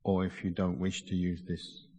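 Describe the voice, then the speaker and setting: A man teaching guided meditation with measured, deliberate phrasing and frequent pauses. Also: sits low, flat delivery, dark tone, breathy edge. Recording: long hall reverb.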